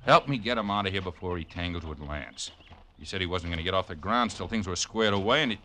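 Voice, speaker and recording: An actor playing a brash, streetwise former boxer turned trainer, talking in punchy slang in a 1940s radio drama. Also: male voice, low-pitched, lively intonation, quick pace, pushed and bright, a little hiss or hum. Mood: confiding.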